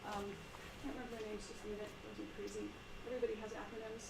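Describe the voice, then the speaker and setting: A woman with a quiet voice leading a group discussion at a meeting, talking in a halting way with many fillers and restarts. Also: mid-range voice, level tone, vocal fry. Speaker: meeting presenter. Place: meeting room with a small group. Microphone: shared room mic for a video stream. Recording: echoey room, background noise.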